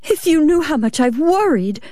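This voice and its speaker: Casting: woman as an anxious wife in an audiobook, higher-pitched, sharp and demanding, distrustful of her husband. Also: very animated, normal speed, no room sound. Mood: tearful, worried. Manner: tearful, sharp, insistent.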